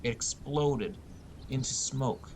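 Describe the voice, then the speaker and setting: Man narrating, noisy rumbling recording. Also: takes it slowly, a little mellow. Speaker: audiobook narrator. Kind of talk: scripted first-person fantasy narration. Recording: rumbly background noise.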